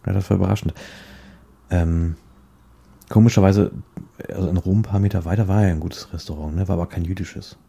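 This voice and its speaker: A man chatting casually in a rambling, halting way, often repeating words as he searches for the next one. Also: low-pitched, fairly even intonation, vocal fry, mellow, breathy edge.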